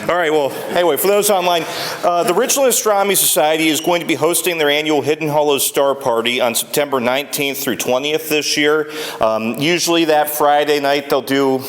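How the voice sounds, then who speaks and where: An older man making a casual announcement at a club meeting, a naturally loud talker a little unsure with the microphone, heard in a large meeting hall. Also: a little high, a little fast, few pauses. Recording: long hall reverb.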